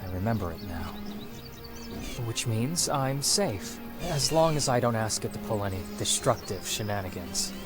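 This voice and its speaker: An actor playing an earnest, reassuring young hero in a video game cutscene, informal and determined, with music behind him. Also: male voice, lowish voice, expressive, normal speed, lightly acted.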